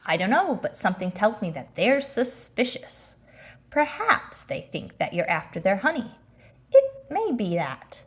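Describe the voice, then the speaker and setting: A woman voicing an earnest, anxious little male toy bear in a children's storybook, speaking in a deliberate, declamatory way. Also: mid-range voice, sing-song, slightly rough. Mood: conspiratorial.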